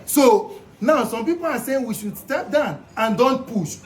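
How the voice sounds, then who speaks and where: A man's voice: very high voice, expressive, measured pace. A man with a Nigerian accent being interviewed, arguing insistently and persuasively. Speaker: protester interviewed. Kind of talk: street interview.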